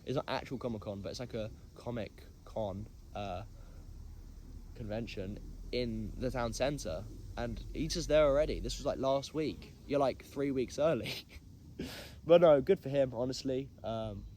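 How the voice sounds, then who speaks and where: A young man from England, nasal from hay fever, hosting a casual solo podcast and rambling with self-deprecating asides and many pauses. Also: medium pitch, mellow, a little hiss or hum. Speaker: podcast host.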